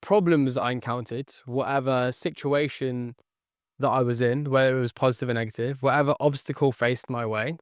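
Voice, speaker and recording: A young man, a podcast guest whose first language was Tagalog, tells his story earnestly and in repeated phrases, checking in with "okay". His voice is smooth and clear at a mid pitch. He is recorded dry and close. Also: steady tone, moderate pace, no room sound. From born near London, raised in the Philippines. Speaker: guest, sales entrepreneur. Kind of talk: podcast interview.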